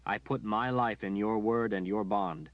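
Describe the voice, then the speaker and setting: A man voicing a calm, steadfast young male hero who speaks quietly and earnestly. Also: lowish voice, unhurried, no room sound, lightly acted. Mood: gentle. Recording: dull dry recording.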